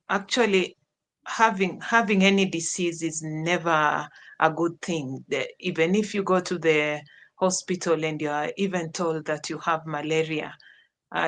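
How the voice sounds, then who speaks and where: A woman with a Kenyan accent, a teacher, telling her story as an interview guest, slow and halting with many pauses. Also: deep voice, a bit bright. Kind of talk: personal testimony in an interview.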